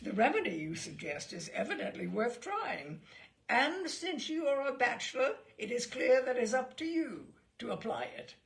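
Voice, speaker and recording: A woman's voice: on the high side, very animated, normal speed, creaky. A woman voicing a bluff, fat old ship's captain who declaims and cajoles emphatically in a storybook reading. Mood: dry, knowing.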